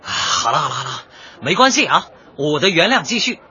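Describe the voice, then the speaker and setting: An actor playing a cocky, argumentative young DJ with dyed hair in a light comedy radio drama. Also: male voice, fairly high pitch, big pitch swings, fairly quick, sharp tone, slightly rough, highs cut off, exaggerated voice. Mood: indignant, lecturing. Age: young adult. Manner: brash, glib, protesting, lecturing.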